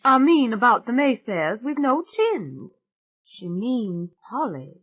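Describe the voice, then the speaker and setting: A woman's voice: sing-song, takes it slowly, full character voice. A woman doing a wry, muttering woman. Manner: low muttering. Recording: tinny phone-like sound.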